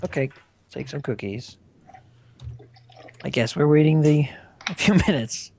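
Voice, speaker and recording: A man in casual chat with friends on a voice call before a tabletop game, relaxed and joking. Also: a little high, unhurried, vocal fry.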